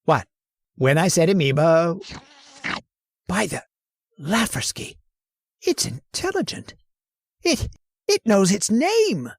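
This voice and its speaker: A woman's voice: fairly low pitch, slow pace, pauses often, no echo, clean studio sound, full character voice. A woman playing an obsessed, reckless male scientist in an old-time radio horror drama, swinging between wonder and scorn. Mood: fascinated. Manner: excitable, marvels and snaps by turns.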